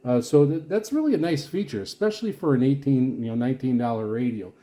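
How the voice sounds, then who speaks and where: A man giving a casual, rambling product walkthrough as a reviewer, speaking in a halting, explanatory way. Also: medium pitch, animated, warm tone. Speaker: radio reviewer and vlogger.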